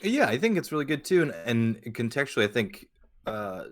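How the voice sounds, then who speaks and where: A man chatting casually as a podcast co-host, halting and repeating words as he goes. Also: medium pitch, sing-song.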